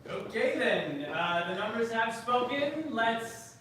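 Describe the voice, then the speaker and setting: An actor in a live staged reading playing a reluctant, married theatre director with halting, wordy, formal phrasing. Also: medium pitch, very animated, fairly bright tone. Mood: formal, hesitant. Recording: very echoey, noisy room from far off.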